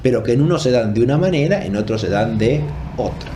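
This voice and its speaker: Guy doing a philosophy lecture, mid-pitched voice, formal and teachy, bit of background noise. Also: animated, fast talker, dark tone. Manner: didactic, frequently checks with "¿no?". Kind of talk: unscripted academic lecture.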